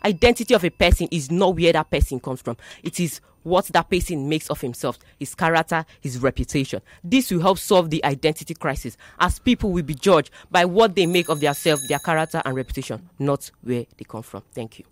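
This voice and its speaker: A young student debater with a Nigerian accent delivers a rehearsed, declamatory speech in deliberate, rhetorical phrases. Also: medium pitch, animated, dry recording.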